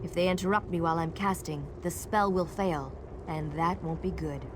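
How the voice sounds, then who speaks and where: A woman's voice: fairly low pitch, fairly even intonation, moderate pace, background noise, close to an everyday voice. An actress playing an earnest, purposeful female battle mage in a scripted fantasy game, with music under her. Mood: urgent, focused. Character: resolute female battle mage rallying allies. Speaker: game character.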